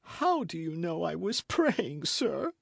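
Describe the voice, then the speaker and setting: A man performs a scheming noblewoman who feigns pious meekness, pleading through sobs. The voice rides high and swoops widely, with a close, dry sound. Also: animated, measured pace, dry recording. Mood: tearful pleading. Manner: pleading, sobbing, breathless. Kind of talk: audiobook character voice.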